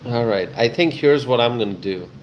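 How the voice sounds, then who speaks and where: A man hosting a live stream, chatting casually with viewers in a halting way, often repeating himself and pausing. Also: mid-range voice, expressive, low-quality recording. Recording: clear ambient background noise.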